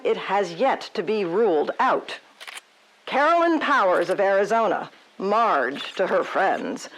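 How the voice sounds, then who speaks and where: A woman's voice: on the high side, low-quality recording. A woman playing an earnest local TV news reporter in a comic audio drama, formal and sympathetic. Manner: formal, sympathetic, clearly announced.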